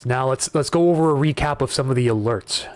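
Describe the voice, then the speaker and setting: A man hosting a live weather stream, talking through wind data and viewer questions in a casual, conversational way, often restarting his sentences, his voice starting to go hoarse. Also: mid-range voice, fast, darkish tone, no echo, studio quality. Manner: conversational, repeats words and restarts while reading data. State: voice getting hoarse. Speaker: weather livestream host.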